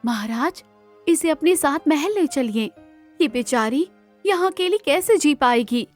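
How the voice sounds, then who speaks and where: A composed, kindly queen from a cartoon storybook, acted by a woman. She speaks slowly and respectfully in a fairly high voice. Music plays faintly behind her. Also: lively intonation, a little mellow. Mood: kind concern. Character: kind, composed queen in a folk tale. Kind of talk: dramatised cartoon storybook dialogue.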